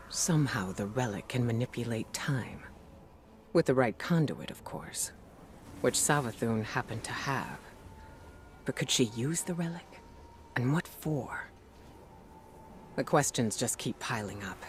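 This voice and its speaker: An actor playing a composed, authoritative commander giving a briefing in a video game, grave and measured. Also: male voice, mid-range voice, slight background noise, natural voice.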